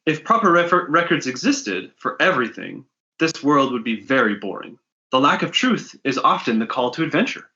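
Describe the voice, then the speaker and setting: A theatrical comic-book dealer, read by a man, scheming and full of menace. He declaims brightly with lively swings, on slightly lo-fi audio. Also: medium pitch, normal speed. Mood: grandly mysterious.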